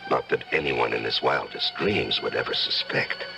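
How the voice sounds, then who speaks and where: Deep, gravelly male judge, calculating and authoritative, widely swooping delivery, old-time radio drama. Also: wildly swooping pitch, moderate pace, over music, exaggerated voice. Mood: secretive, brooding. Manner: theatrical, authoritative. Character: secretly murderous male judge, composed, calculating, self-satisfied. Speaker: actor.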